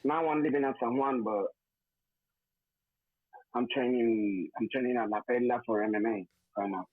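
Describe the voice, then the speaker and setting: A young Puerto Rican man talks haltingly, searching for English words between fillers. His voice comes through thin and phone-like, with a dry, close sound and no room around it. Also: mid-range voice, no echo. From Puerto Rico. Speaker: interview guest, wrestler. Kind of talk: casual interview answers.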